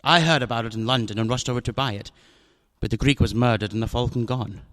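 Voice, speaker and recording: An actor playing a fat, genteel, scheming older villain with a florid, unctuous manner in a radio drama. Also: male voice, mid-range voice, quick pace, a bit bright, close to an everyday voice. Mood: smooth, storytelling.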